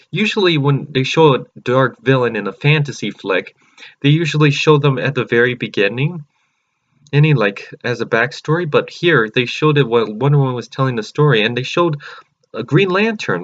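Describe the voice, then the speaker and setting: Excited rambling man reviewing a movie. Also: mid-range voice, highs cut off. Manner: excited and rambling, many fillers and restarts. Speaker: channel host. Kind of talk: casual movie review vlog.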